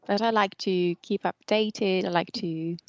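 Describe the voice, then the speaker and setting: A woman's voice: medium pitch, steady tone, a little slow, no echo, highs cut off. Italian-native woman on a dry call. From Italy. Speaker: guest.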